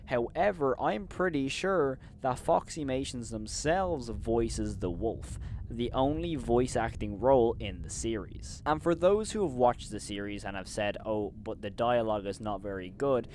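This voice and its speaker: A man hosting a commentary video, giving opinionated criticism, leaning on "of course" and "in my opinion" and turning loud and incredulous when he rants. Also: mid-range voice, expressive, a little slow, slight background noise. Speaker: host and reviewer.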